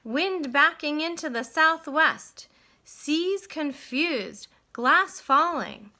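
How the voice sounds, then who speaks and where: Woman voicing a high, urgent little mouse sailor. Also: lively intonation, very slow, pushed and bright, heavily acted. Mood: urgent shouting. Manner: calling out urgently in clipped nautical phrases. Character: small brave mouse sailor, eager and alert.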